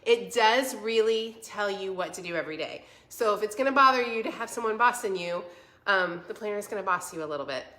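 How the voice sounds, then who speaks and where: A woman's voice: medium pitch, expressive, brisk, sharp tone. A woman in her forties with a congested voice, chatting casually and encouragingly to viewers as a livestream host. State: stuffy, congested voice from a cold. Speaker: vlog host selling a home planner. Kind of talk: casual livestream chat, answering viewer comments.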